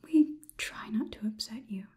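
Female AI overlord, played by a young woman: breathy clinical whisper, mellow, higher-pitched, politely condescending, ASMR roleplay. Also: animated, natural voice. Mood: calm, clinical. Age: young adult. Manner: slow, soothing, clinical, patronizing. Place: small indoor room.

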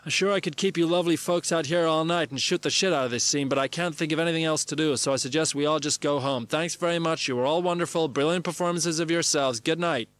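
A man voicing a flattering film director who effusively addresses his cast in a memoir reading. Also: a little high, quick pace, few pauses, no room sound, voice pushed up, lightly acted. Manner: effusive, public announcing.

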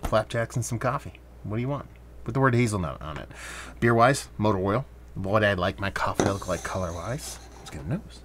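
Man, lowish voice, casual rambling beer review, faint background hum. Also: a little fast. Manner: relaxed, rambling, with many fillers.

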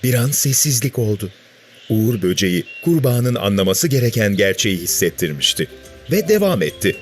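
A man's voice: on the low side, sing-song, fairly quick, faint music behind. A man narrating a scripted story for children.

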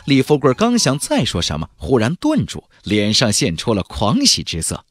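A man's voice: medium pitch, huge pitch swings, moderate pace, faint music behind. A man narrating a fantasy novel as a dramatic scripted audiobook.

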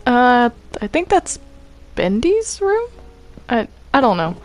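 A woman's voice: fairly high pitch, big pitch swings, slow pace. A woman chatting casually as she hosts a multiplayer game roleplay session. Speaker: host of a Minecraft roleplay series. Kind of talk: casual gaming chat.

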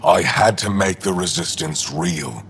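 An actor playing a gruff, war-hardened alien resistance leader in a video game, terse, dry and cynical with a gravelly voice. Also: male voice, low voice, animated, a little slow, fairly bright tone, slight background noise, full character voice. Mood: grim, resolute. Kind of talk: video game dialogue acting.

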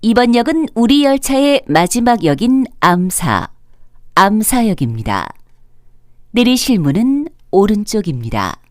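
A woman's voice: medium pitch, big pitch swings, measured pace, soft background music. A woman reading scripted subway train announcements in a formal, polite, carefully enunciated way. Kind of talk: scripted automated transit announcement.

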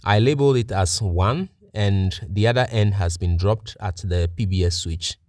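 A man's voice: fairly low pitch, moderate pace, a little mellow, dry recording, studio quality. A man giving methodical, plain-spoken step-by-step narration as a technician presenting a practical tutorial.

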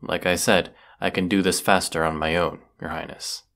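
A man playing a proud, brooding, sardonic wizard of the woods in a scripted audio roleplay, speaking haughtily and deliberately. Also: low-pitched, little pitch movement, a bit bright. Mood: grave, determined.

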